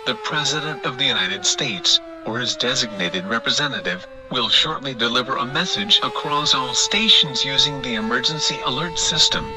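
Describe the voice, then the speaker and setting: A man's voice: mid-range voice, very animated, moderate pace, hardly any pauses, over music, natural voice, rough recording. A man playing a stern emergency alert system announcer, reading official notices in a formal way.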